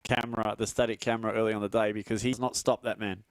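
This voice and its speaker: A man from Australia doing casual studio commentary for a live stream, chatty and quick to laugh, often stumbling over his words. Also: lowish voice, flat delivery, clear, resonant tone, no echo.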